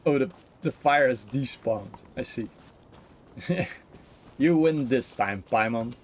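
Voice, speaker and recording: A man's voice: mid-range voice, lively intonation, takes it slowly, a little hiss or hum. A man giving casual, playful live commentary as he streams a game, joking as he goes. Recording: faint game music behind.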